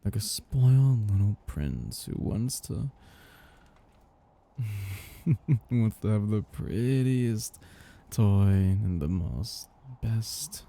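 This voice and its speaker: A man as a dark, breathy, possessive vampire master. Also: lowish voice, exaggerated voice. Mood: gloating, nostalgic. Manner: slow, teasing, condescendingly affectionate, with whispers and chuckles. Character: possessive, teasing male vampire master, aristocratic and indulgent. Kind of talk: intimate roleplay ASMR.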